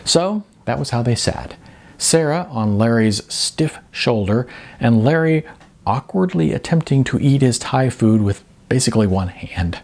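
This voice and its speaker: Male audiobook narrator, husky and animated in pitch, for literary fiction narration. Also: a little slow. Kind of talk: scripted narration of a novel.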